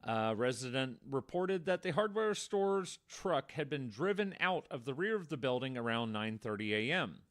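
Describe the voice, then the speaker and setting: Wry man with a very animated pitch. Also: normal speed, hardly any pauses. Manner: wry, darkly joking asides, says "if you will". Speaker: podcast co-host. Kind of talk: conversational true-crime storytelling.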